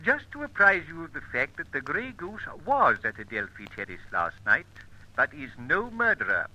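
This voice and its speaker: An actor playing a suave, witty British gentleman outlaw in an old radio detective drama, wordy and mock-courteous. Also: medium pitch, highs cut off, heavily acted.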